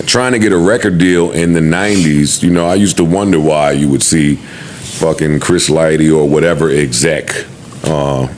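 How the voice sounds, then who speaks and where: A man's voice: a bass voice, moderate pace, darkish tone, a little hiss or hum. A middle-aged man in casual podcast talk, streetwise and reflective, with profanity mixed in.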